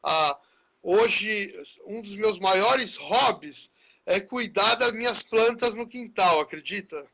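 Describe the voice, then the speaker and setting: A man, a teacher hosting a live-stream chat. His voice is high for a man and bright and forceful. He talks in a chatty, friendly way, tagging many phrases with a little questioning "né". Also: lively intonation, slow pace, vocal fry. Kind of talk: casual conversation in a live stream.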